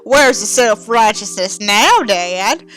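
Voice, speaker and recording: A woman's voice: mid-range voice, lively intonation, slow pace, fairly bright tone, faint music behind, pitched below the performer's own voice. A woman voicing a bitter, self-righteous teenage boy in an overwrought, mock-dramatic audiobook reading, stretching words for emphasis. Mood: scornful.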